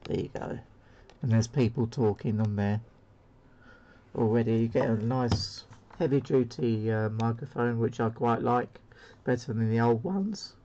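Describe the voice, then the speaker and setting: A man's voice: medium pitch, a little slow, mellow, dull audio, slight background noise. A man giving a casual, unscripted CB radio demo in a hesitant, rambling way. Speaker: CB radio hobbyist presenting a demo. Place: indoor radio room.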